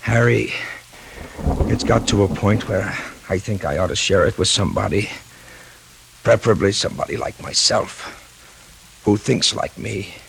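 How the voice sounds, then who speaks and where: An actor playing a sly, ailing veteran newspaperman with a husky, halting, weary voice in 1940s radio style. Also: lowish voice, expressive, a little hiss or hum, low-quality recording. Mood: sly, tired. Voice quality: gravelly, husky. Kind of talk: 1940s radio drama acting.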